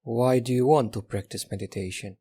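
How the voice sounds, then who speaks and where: A man leading a guided meditation in a gentle, unhurried manner, leaving long pauses between instructions. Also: medium pitch, slightly rough.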